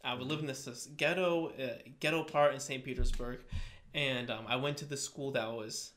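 A man's voice: mid-range voice, fairly even intonation, normal speed, a bit bright, a little hiss or hum. A man speaking as a podcast guest, telling personal stories casually and often backtracking to rephrase. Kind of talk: casual chat, personal storytelling.

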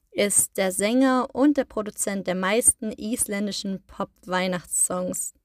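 A woman's voice: medium pitch, no room sound, clean studio sound. A woman hosting a podcast, explaining in a casual way, often rephrasing herself and using fillers. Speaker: podcast host.

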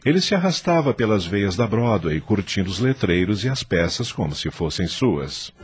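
Male Brazilian narrator for radio drama: low, solemn, highly animated intonation, music beneath. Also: moderate pace.

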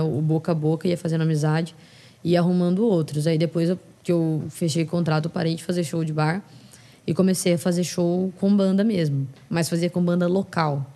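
A woman's voice: lowish voice, warm tone. A woman from São Paulo, a singer and podcast guest, chatting frankly and expressively in a casual interview. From São Paulo, Brazil.

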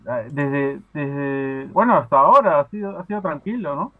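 A man from Peru talks casually over a tinny phone line, his voice higher than most men's. He hesitates a lot, restarting sentences and filling gaps with small words. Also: normal speed. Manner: hesitant, many fillers and restarts. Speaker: podcast caller. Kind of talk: casual chat.

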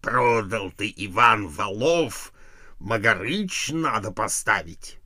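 A man's voice: lowish voice, lively intonation, slow pace, bright, forceful tone, dry recording, clean studio sound, full character voice. A man voicing a shrewd, sly village godfather who teases in a folk tale. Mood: teasing.

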